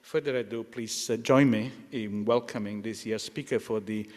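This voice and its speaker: Male host, lowish voice, halting formal introduction with constant hesitations, reverberant hall. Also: fairly even intonation, darkish tone. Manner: halting, many fillers and restarts, clears throat. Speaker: lecture host. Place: lecture hall. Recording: lecture hall with long reverb.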